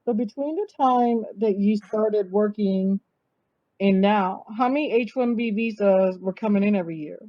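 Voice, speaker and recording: A woman hosting an interview, asking measured questions and reacting with drawn-out exclamations. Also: medium pitch, no room sound. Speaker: host, interviewer. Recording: dull, dry lo-fi sound.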